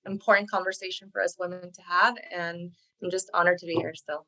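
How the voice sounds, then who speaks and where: A woman's voice: fairly low pitch, level tone, fairly quick, bright, forceful tone, low-quality recording. A woman whose first language is Spanish, a career coach guest speaking earnestly and reflectively in a podcast interview.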